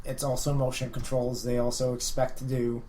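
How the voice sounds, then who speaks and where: A man with an average-pitched voice discusses things casually on a podcast. He is measured and analytical, qualifying what he says, with a little noise in the background. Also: completely flat delivery, warm tone. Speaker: podcast co-host.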